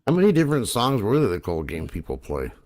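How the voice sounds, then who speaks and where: A man co-hosting a casual podcast chat, easygoing and quick to laugh along. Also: lowish voice, wildly swooping pitch, darkish tone. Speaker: co-host and singer.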